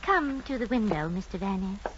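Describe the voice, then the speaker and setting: A woman's voice: medium pitch, lively intonation, moderate pace, close to an everyday voice. An actress playing a genteel, composed lady suspect in an old-time radio drama, with a refined, imperious manner. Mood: guarded, smooth. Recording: dull, noisy vintage radio recording.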